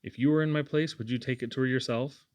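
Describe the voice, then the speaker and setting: A man's voice: medium pitch, steady tone, quick pace, clear, resonant tone, no room sound, lightly acted. A man voicing a proud, polite young lad in a storybook, speaking earnestly and directly.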